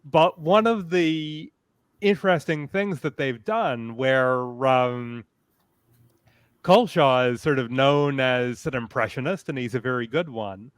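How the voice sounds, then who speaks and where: A man speaking as a panelist in a casual discussion, analytical and detailed in a measured way. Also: mid-range voice, smooth, no room sound, studio quality.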